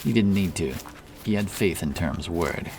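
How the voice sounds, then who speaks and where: A man narrating a fantasy story in a measured, solemn, dramatic storytelling manner. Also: low-pitched, expressive, creaky. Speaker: audiobook narrator.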